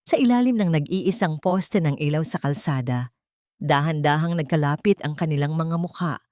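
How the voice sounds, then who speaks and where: A woman narrating a scripted romantic drama in a measured, solemn, sentimental storytelling manner. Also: deep voice, a little mellow, no echo, studio quality. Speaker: narrator of audio drama stories.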